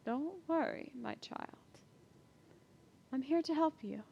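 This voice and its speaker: A woman voicing a calm, mysterious noblewoman who speaks softly and gently. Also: medium pitch, moderate pace, lo-fi sound, slight background noise, natural voice. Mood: soothing. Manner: hushed, soft and gentle.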